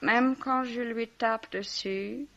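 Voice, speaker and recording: A woman's voice: on the high side, steady tone, slow, highs cut off, background noise. An actress playing a bitter, self-pitying, drunken young woman with a slurred, theatrical delivery in a 1950s-style French radio mystery. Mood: maudlin, bitter.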